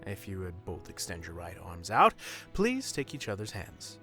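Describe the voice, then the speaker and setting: A man playing a solemn, formal wedding officiant in a fantasy audio roleplay, speaking in stately, measured ceremonial proclamations. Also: sits low, wildly swooping pitch, bright, forceful tone, faint music behind, heavily acted. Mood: solemn, proclaiming.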